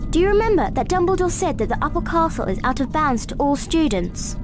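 Schoolgirl witch, high, animated, bossy know-it-all, precise, game dialogue. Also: a little fast, music in the background. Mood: urgent. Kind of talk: video game voice acting.

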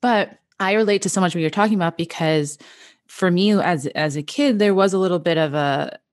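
A woman's voice: lowish voice, fairly quick, no echo, clean studio sound. A woman in her forties hosting a podcast over a video call, talking earnestly and reflectively with many "like" fillers. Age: 40s. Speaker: podcast host. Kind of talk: casual video-call chat. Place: small room at home. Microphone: headset with desk mic on a video call.

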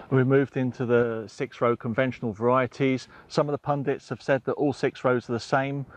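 A middle-aged man, a seeds technical manager, explaining trial results to camera in a field. He talks in a measured, methodical way and walks through figures step by step. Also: medium pitch. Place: outdoors in a crop field on a sunny day. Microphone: waist-up framing, camera at a few metres.

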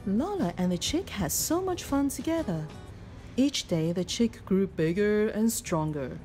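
A woman reading a children's picture book aloud in an expressive, deliberate storytelling manner. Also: mid-range voice, faint music behind. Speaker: storybook reader.

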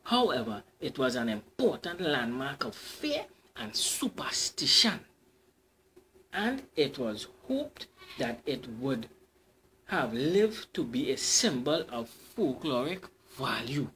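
A man's voice: mid-range voice, sing-song, slow, a bit bright, a little hiss or hum. A man with a Trinidadian accent reading a folk tale aloud as storyteller, in a dramatic storybook manner.

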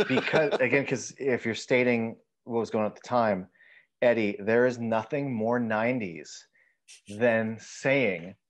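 A man in his thirties chats casually as a film podcast co-host. His delivery is halting and loose, at a middling pitch. He is speaking from a room at home on a video call. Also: rough recording. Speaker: podcast co-host discussing horror films. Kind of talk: casual chat.

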